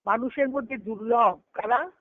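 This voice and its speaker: A woman giving a devotional discourse on a conference call, telling stories earnestly and slowing down to recite scripture verses. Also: on the high side, no room sound. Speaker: spiritual discourse speaker. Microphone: phone on a conference call. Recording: tinny phone line, dry.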